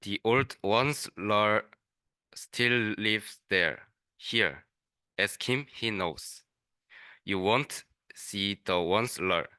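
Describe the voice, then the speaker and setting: Slow, bright-voiced man reading a story. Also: fairly low pitch, clear, resonant tone, no room sound. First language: Korean. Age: young adult. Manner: halting, stumbling over words. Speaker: student reader. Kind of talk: read-aloud of a rhyming children's story.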